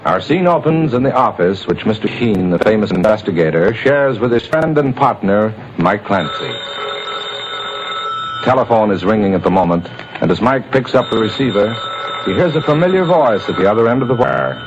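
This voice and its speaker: A man's voice: fairly low pitch, lively intonation, moderate pace, noisy background. Formal old-time radio announcer man. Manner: formal, declamatory. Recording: dull lo-fi old radio recording.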